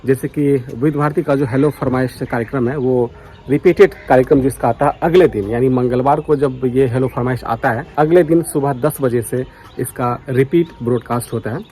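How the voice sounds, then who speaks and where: A man's voice: mid-range voice, fairly even intonation, moderate pace, dark tone. An Indian man talking casually and earnestly to his viewers as a vlogger, mixing in English words. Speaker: vlogger, radio enthusiast. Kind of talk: casual vlog talk to viewers. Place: outdoors on a foggy morning.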